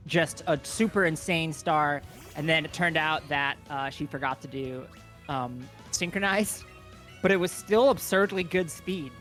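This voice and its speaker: A man's voice: a little high, a bit bright. A man co-commenting a livestreamed speedrun event in a chatty, playful way. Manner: chatty and playful, with quick restarts. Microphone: own microphone, talking close into it.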